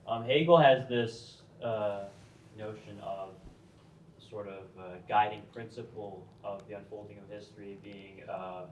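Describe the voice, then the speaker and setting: A man's voice: fairly low pitch, fairly even intonation, unhurried, a little mellow, a little hiss or hum. A man in the audience asking a hesitant, academic question, dotted with ums. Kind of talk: Q&A question from the floor. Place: lecture hall. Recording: roomy hall, distant mic.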